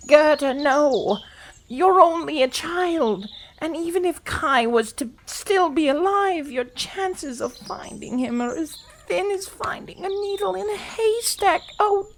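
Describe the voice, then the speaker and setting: A woman playing a worried, loving old grandmother in an audio play, with a creaky voice. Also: very high voice, expressive, slow pace, exaggerated voice. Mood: worried, pleading. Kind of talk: amateur audio play acting. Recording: faint noise behind the voice.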